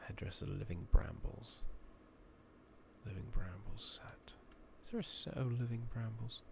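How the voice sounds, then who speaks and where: A man giving a soft-spoken, partly whispered ASMR game playthrough, musing to himself and reading game text aloud over a noisy makeshift microphone. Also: on the low side, unhurried, vocal fry. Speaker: ASMR gaming streamer. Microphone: close makeshift replacement microphone.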